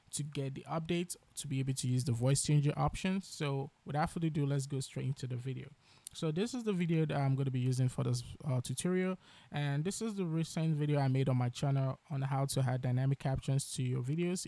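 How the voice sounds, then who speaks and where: A man hosting a software tutorial, explaining each step as he narrates. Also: on the high side. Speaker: tutorial host.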